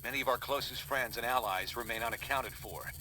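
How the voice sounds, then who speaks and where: Male actor, authoritative mission briefer, urgent, video game, middle pitch, noticeable noise. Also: fairly quick, sharp tone, close to an everyday voice. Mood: grave. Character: serious superhero leader giving mission briefings. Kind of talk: scripted game briefing narration. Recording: noisy background.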